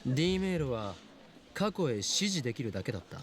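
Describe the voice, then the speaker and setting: An actor plays a self-assured young man in an anime visual novel. He talks very quickly, with a firm, clipped delivery, like someone lecturing matter-of-factly. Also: mid-range voice, sing-song, a little hiss or hum, close to an everyday voice. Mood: explaining.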